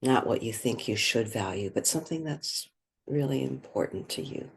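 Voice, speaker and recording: A soft-spoken woman leading a guided meditation, pausing between short phrases. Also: a bass voice, expressive, vocal fry, a little hiss or hum.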